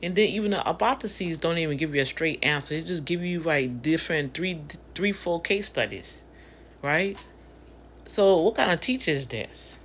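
A woman's voice: low-pitched, lively intonation, normal speed, slight background noise. A woman in her fifties giving a casual motivational talk, conversational and preachy, speaking straight to her listeners. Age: 50s.

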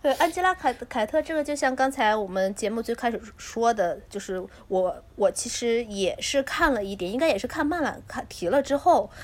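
A woman with a fairly high voice talks casually as a podcast co-host. She feels her way through her thoughts, repeating words and rephrasing as she discusses what she has read. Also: animated, fairly quick, hardly any pauses.

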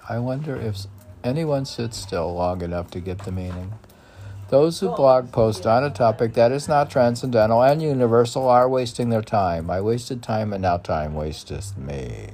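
A man's voice: mid-range voice, animated, moderate pace, warm tone. A man reading his own poetry aloud and musing to himself between lines, often repeating phrases. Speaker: poet reading own work. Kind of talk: poetry reading with muttered asides.

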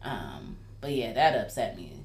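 A woman's voice: very deep voice, sing-song, a little slow, a little hiss or hum. A woman co-hosting a casual chat, playful and teasing, laughing often. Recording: echoey room.

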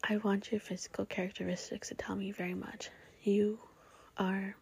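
Young female podcast host, breathy near-whisper, blunt, swears a lot, rambling and casual. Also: mid-range voice, level tone, normal speed. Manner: blunt, profane, rambling with many fillers. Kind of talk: casual solo podcast talk.

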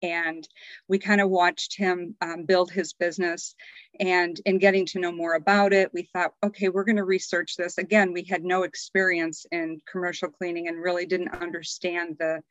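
A middle-aged woman, a business owner, talking through her story as a podcast guest with frequent pauses. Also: lowish voice, flat delivery, dry recording, lo-fi sound. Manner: conversational, many "um" pauses. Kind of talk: podcast interview.

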